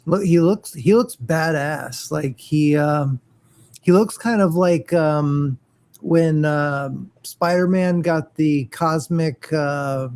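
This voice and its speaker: Stammering higher-voiced male co-host. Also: slow, darkish tone. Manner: stammering, trails off searching for words. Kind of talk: casual chat.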